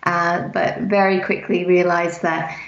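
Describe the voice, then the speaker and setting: A woman, a podcast guest talking in a relaxed, talkative way with many ums. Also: fairly low pitch, measured pace, creaky. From England. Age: 40s. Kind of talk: interview conversation. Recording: muffled roomy remote audio.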